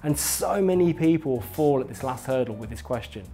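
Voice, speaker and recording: A man narrates an instructional film in a medium-pitched voice. He explains each point with clear emphasis and encouragement, speaking straight to the learner. Faint music plays behind him. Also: animated, normal speed, warm tone. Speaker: instructor, presenter. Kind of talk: scripted instructional narration addressed to viewer.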